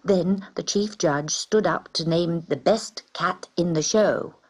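A woman reading a children's story aloud in an expressive, dramatic way. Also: fairly low pitch, takes it slowly, faint music behind.

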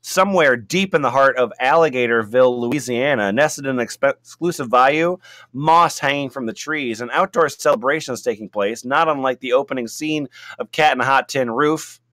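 A man playing an excitable, easily distracted artist who chatters and exclaims in a story reading. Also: mid-range voice, moderate pace, dry recording, close to an everyday voice. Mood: rambling, bemused.

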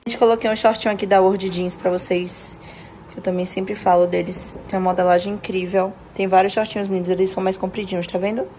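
A young woman talking casually to her fans in selfie phone clips, chatty and direct, soft-spoken and firm when setting limits, often checking in with "tá bom?". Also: medium pitch, fairly even intonation, quick pace, slight background noise. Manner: chatty, addresses fans as "gente", repeats "tá bom?". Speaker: dancer and influencer talking to fans. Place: bedroom and car, indoors. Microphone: phone at arm's length.

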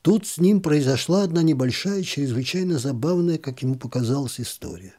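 A man's voice: a little high, animated, a little fast, mellow. A man narrating a literary short story as an audiobook reader.

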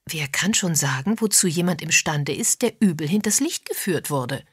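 A woman's voice: low-pitched, animated, moderate pace, breathy edge, dry recording, clean studio sound, full character voice. A woman voicing a tough, blunt woman amateur sleuth, frank and musing, in an audiobook.

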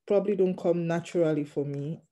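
A doctor in training, a non-native English speaker, answering interview questions in a hesitant, measured way with many ums. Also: medium pitch, warm tone. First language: not English. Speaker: GP trainee interviewed about passing an exam.

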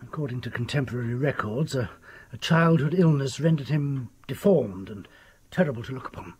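An actor playing an obsessed, fearful country doctor in a radio drama, with a husky voice and formal, ominous manner. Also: male voice, medium pitch, fairly quick, darkish tone, lo-fi sound. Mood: grave, ominous. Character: desperate, frightened country doctor obsessed with the occult.

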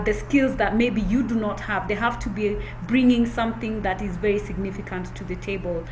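Woman, NGO founder, guest speaker, conversational, practical advice, faint background hiss. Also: medium pitch. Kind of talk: advice-giving talk on a webinar. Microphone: video call.

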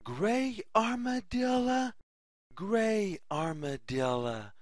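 A children's storyteller reads aloud extremely slowly, setting each phrase apart. The pitch swings widely in a sing-song pattern. The sound is dry and close, suited to a simple picture book for young listeners. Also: animated, no room sound. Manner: deliberate, word-by-word delivery with sing-song questions.

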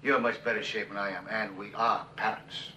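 An actor playing a bitter, disillusioned middle-aged executive husband in a television drama, with a halting, sardonic delivery. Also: male voice, on the low side, level tone, pushed and bright, a little hiss or hum. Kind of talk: 1960s television drama acting.

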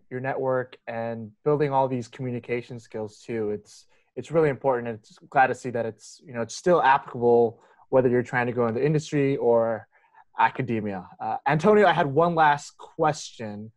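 A man's voice: mid-range voice, normal speed, dry recording. A man hosting an interview podcast over a video call, asking questions in a casual, hesitant way. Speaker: podcast host. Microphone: headset on a video call.

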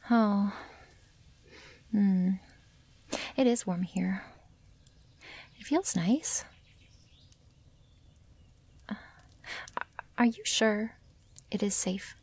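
A woman voicing a wary, possessive young female werewolf in an intimate ASMR roleplay, breathy and half-whispered, with halting, formal phrasing. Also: medium pitch, darkish tone, full character voice. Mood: hesitant, shy. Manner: halting, stiffly formal phrasing without contractions.

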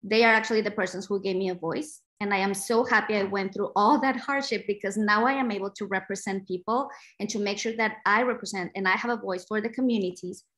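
A woman's voice: mid-range voice, steady tone, quick pace, few pauses, fairly bright tone. A middle-aged woman, giving a heartfelt, emphatic personal account as a panelist. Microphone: computer mic on video call.

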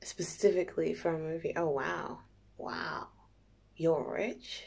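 A woman playing a playful, nervous young date in an intimate ASMR roleplay, soft and halting, often near a whisper. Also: deep voice, gravelly, breathy edge, exaggerated voice. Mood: casual, teasing. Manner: halting, giggly, teasing.

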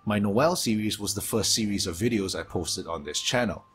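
A man from Singapore with a Singaporean English accent, reading a scripted gaming Q&A voice-over in a steady, measured way from his home hobby room, his s sounds sharp and a low hum behind him. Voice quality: strong sibilance, low hum from aquarium pumps. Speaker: gaming YouTuber.

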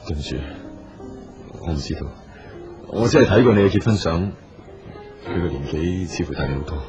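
A man's voice: low voice, expressive, a little fast. A man with a Hong Kong Cantonese accent acting a polite, earnest, persistent businessman in a radio drama. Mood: apologetic, earnest. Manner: polite, solicitous. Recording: dull audio with music underneath.

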